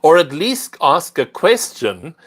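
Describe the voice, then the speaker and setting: Older South African-born man, livestream host, earnest and unhurried delivery. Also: a little high, big pitch swings, slightly rough. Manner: unhurried, earnest, warm greetings to viewers. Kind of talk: casual livestream chat with viewers.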